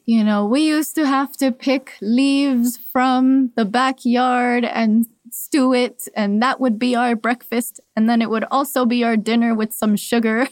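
A woman's voice: mid-range voice, dry recording. Reflective woman, dry close sound. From Cuba, raised in Miami. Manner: reflective, laughs often, restarts. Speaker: podcast guest. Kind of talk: personal storytelling in an interview.

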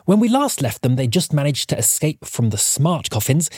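Male podcast host for scripted intros, fairly high husky voice, very animated intonation, dry studio sound. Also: normal speed, no room sound.

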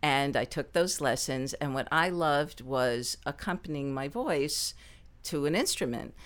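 A middle-aged woman with a low speaking voice, a performer telling stories from her life in an interview, lively and quick to laugh. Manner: lively, laughs often, animated asides. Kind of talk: conversational storytelling interview.

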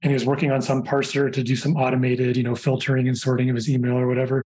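A man in his forties, a software engineer guest on a podcast, telling chatty anecdotes in a relaxed way. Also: mid-range voice, very fast. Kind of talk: casual conversational interview.

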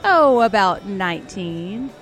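Sassy twenties barmaid for audio drama, played by a woman: wry, talkative, very animated delivery, light music behind. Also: mid-range voice, slow pace, rough recording. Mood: wry, chatty. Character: sassy, chatty young female bartender in her twenties.

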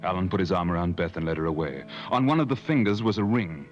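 Low-voiced male adventurer, earnest and measured storyteller for an old radio drama, music beneath. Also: animated, highs cut off. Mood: grave, suspenseful. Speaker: narrator and character in a radio play. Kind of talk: 1950s radio drama acting.

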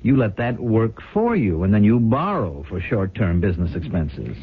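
A man's voice: fairly low pitch, very animated, moderate pace, husky, full character voice. An actor playing a glib, scheming, defensive businessman husband in a theatrical 1970s radio drama style. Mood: glib, reassuring. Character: smooth-talking, scheming husband running a failing company. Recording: tinny, noisy old radio broadcast.

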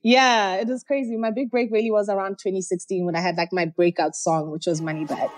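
A woman rapper from Zimbabwe, a guest telling stories in a chatty, animated way in a casual interview. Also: medium pitch, fairly quick, soft background music.

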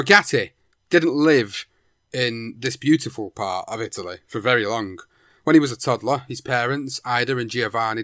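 A man narrating a boxer's life story in a measured storytelling manner. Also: mid-range voice, a bit bright, no echo, clean studio sound. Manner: measured storytelling, sentences opened with "now". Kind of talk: documentary narration.

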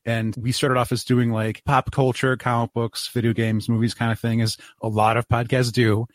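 Relaxed, chatty male podcast guest. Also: medium pitch, normal speed, no room sound, studio quality. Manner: relaxed, chatty, laughs easily. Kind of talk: casual interview talk.

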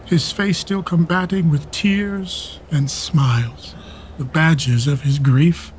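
Elderly loyal duke, stern, fiery, fairly high voice, measured verse delivery, radio play. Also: male voice, slight background noise. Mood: grave, sorrowful. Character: stern elderly English duke, proud, loyal, quick to fury. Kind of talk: Shakespeare radio play acting, archaic verse.

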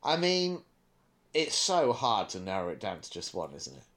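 A man's voice: on the low side, huge pitch swings, moderate pace, fairly bright tone. A man co-hosting a podcast in casual banter, with a wry, mock-serious way of talking. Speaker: podcast co-host.

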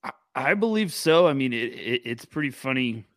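Animated man, casual sports podcast co-host. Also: mid-range voice, normal speed, dry recording, clean studio sound. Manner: energetic, animated, rambling with restarts.

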